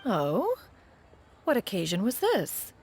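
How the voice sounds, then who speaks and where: A woman's voice: mid-range voice, huge pitch swings, slow, darkish tone, a little hiss or hum, exaggerated voice. A woman voicing a proud, stately ancient immortal in a video game, with lofty, archaic phrasing. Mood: indignant, proud. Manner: lofty, archaic, refers to herself as one. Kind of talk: scripted video game dialogue. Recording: music behind the voice.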